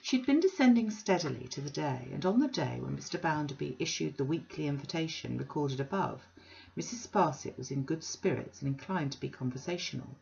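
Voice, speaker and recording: A woman's voice: low voice, expressive, brisk, vocal fry, darkish tone. Woman reading a classic novel aloud. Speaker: audiobook reader. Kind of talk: classic novel audiobook narration. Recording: dull lo-fi recording.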